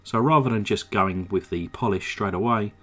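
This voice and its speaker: A man with a slightly croaky throat narrating his model car restoration at a home workbench, in a relaxed, chatty way full of "uh" fillers. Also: lowish voice, moderate pace. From England. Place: home workshop. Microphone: desk microphone.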